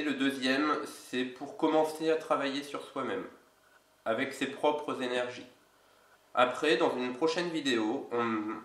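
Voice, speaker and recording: A man with a cold, an energy healer giving a casual instructional video in a slow, halting way. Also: mid-range voice, a bit bright, distant mic. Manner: slow, halting, with many pauses. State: has a cold. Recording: roomy echo, away from mic.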